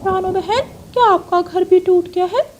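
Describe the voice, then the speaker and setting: A woman's voice: extremely high pitch, normal speed, highs cut off, background noise, pitched above the performer's own voice. A woman voicing a polite, distressed parrot in a children's cartoon, plaintive and pleading. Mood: concerned. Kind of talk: children's cartoon story acting.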